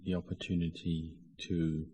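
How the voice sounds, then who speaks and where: A man teaching guided meditation with measured, deliberate phrasing and frequent pauses. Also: sits low, flat delivery, dark tone, breathy edge. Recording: long hall reverb.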